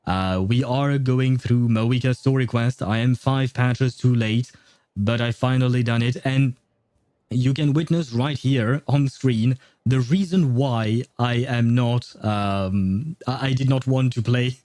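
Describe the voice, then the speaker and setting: Male game streamer, soft mellow tone, dry close sound, casual, rambling, halting chat with viewers. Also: medium pitch, steady tone, dry recording. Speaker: game livestreamer. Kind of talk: casual livestream chat and commentary.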